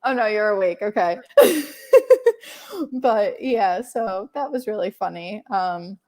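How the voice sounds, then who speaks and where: A woman's voice: medium pitch, animated, slow pace, a little mellow. A young woman hosting a casual livestream chat, rambling with many fillers and laughing often. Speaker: livestream host.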